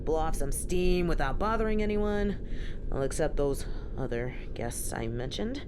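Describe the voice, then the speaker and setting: Loud background noise surrounds a flirty, streetwise female werewolf played by a woman in a roleplay audio drama. Her voice sits on the low side and swoops freely as she teases the listener with playful, folksy slang, never hurrying. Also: animated, normal speed. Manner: teasing, folksy, casual drawl of slang.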